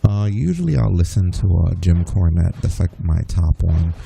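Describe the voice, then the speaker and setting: Man, very low voice, gravelly, laid-back rambling gamer talk, swears casually. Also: sing-song, moderate pace, a little hiss or hum. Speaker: streamer playing an online game with a friend. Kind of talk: casual gaming chat. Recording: game music playing loudly behind. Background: game music.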